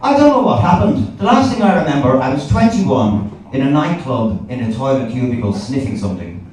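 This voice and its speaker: A man's voice: mid-range voice, big pitch swings, fairly quick, darkish tone, recorded from a distance, a little hiss or hum. Irish male comic, echoey live venue. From Ireland. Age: forties. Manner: sweary, wry storytelling with acted-out dialogue. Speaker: stand-up comedian. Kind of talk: stand-up comedy routine to a live audience. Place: indoor comedy venue before a live audience.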